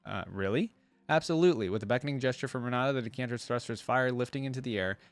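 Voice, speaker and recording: A man's voice: mid-range voice, steady tone, rapid-fire, no room sound. A man hosting a reaction video, reading lore aloud and commenting in a conversational, explanatory way.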